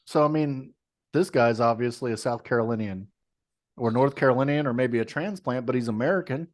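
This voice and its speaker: A man's voice: mid-range voice, brisk. A man co-hosting a podcast in casual chat, ranting with profanity and disbelief. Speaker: podcast co-host.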